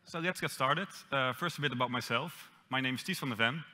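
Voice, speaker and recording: A man's voice: medium pitch, brisk, sharp tone. A young man from the Netherlands with a Dutch accent, giving a conference talk on stage with light self-deprecating humor. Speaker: conference speaker. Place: large auditorium stage. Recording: reverberant hall.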